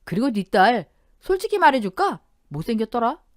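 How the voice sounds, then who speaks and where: A cruel, sneering older stepfather who taunts without a shred of guilt, as a woman narrator puts him on. His voice sits high and lively for a man, swinging up and down as he jeers. The recording is close and dry, an audio-drama read. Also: moderate pace, no room sound. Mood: mocking. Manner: mocking, taunting.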